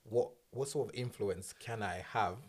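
Husky, low-voiced man, chatting casually. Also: a little slow. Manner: slangy, informal. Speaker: podcast host. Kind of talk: casual group conversation.

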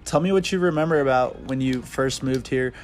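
A young man hosting his first podcast in casual chat, earnest and halting, with frequent ums and restarts, recorded in a bookstore. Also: mid-range voice. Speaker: podcast host. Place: bookstore children's section.

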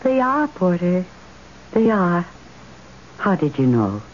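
An American actress playing an entranced, defiant wife with a dreamy, theatrical delivery in an old radio drama. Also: female voice, fairly low pitch, sing-song, slow pace, darkish tone. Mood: eerie, entranced. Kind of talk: 1970s radio drama acting. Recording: dull, hissy old radio recording.

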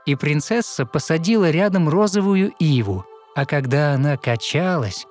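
A man narrating a fairy tale in verse as scripted audio storytelling, with measured, expressive recitation. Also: on the high side. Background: soft music.

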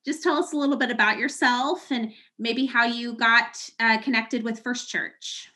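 Host: woman pastor, bright voice, warm conversational interviewer, hedges with fillers. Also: a little high, steady tone, normal speed. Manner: friendly, hedging with you know and fillers. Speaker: executive pastor, podcast host. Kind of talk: hosting a church podcast interview.

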